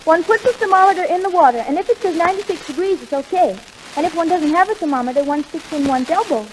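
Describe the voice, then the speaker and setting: An actress playing an excitable, dreamy teenage schoolgirl in a 1940s radio comedy, earnest and given to stilted formal phrases, on an old hissy recording. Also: very high voice, fast, low-quality recording. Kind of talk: 1940s radio sitcom acting.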